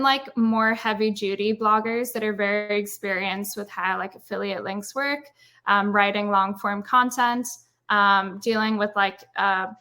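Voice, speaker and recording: A woman with an Irish accent, chatting loosely in a webinar and leaning on lots of "like" and "um". Her voice is bright and forward, and it comes over a video call. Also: mid-range voice. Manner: chatty, many "like" and "um" fillers. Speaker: guest speaker, brand marketer. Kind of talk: casual webinar interview.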